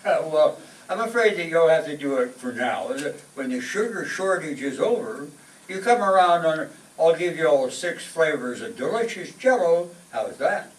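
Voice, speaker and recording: A man's voice: fairly high pitch, animated, normal speed, lightly acted. A man playing a genial neighbor in a 1940s radio comedy. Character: genial neighbor man welcoming trick-or-treaters. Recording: echoey hall, distant, noisy.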